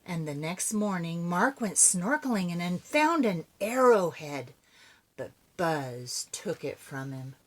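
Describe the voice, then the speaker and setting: A woman's voice: fairly low pitch, very animated, slow. An older woman reading a children's story aloud expressively, adding chatty asides to her young listeners. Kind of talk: animated read-aloud storytelling.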